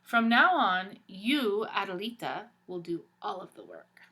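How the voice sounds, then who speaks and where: A woman's voice: mid-range voice, very animated, unhurried, pushed and bright. A woman reading aloud and voicing a cold, haughty stepmother who gives icy commands in a storybook. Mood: commanding.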